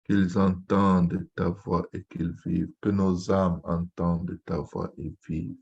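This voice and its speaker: Deep, gravelly man praying fervently. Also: little pitch movement, a little slow, a little mellow, lo-fi sound. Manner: repetitive, pleading, chant-like invocation. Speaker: pastor leading prayer. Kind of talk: fervent extempore Pentecostal prayer.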